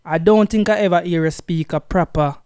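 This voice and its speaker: A man's voice: sits high, fairly quick, darkish tone, smooth, no echo, studio quality. A man co-hosting an interview show, teasing the guest in an emphatic way. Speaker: co-host. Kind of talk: casual interview chat.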